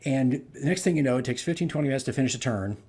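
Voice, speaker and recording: A man telling a casual, animated story as a game master on a vlog, rambling and repeating his phrases. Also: medium pitch, very fast, background noise. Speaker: tabletop game master recounting his campaign.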